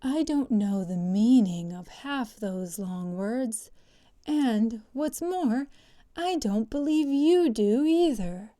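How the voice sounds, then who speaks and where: A woman's voice: on the high side, animated, slow pace, warm tone. A woman voicing a cheeky, impatient young storybook eaglet, blunt and challenging. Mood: scornful. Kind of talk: storybook character voice.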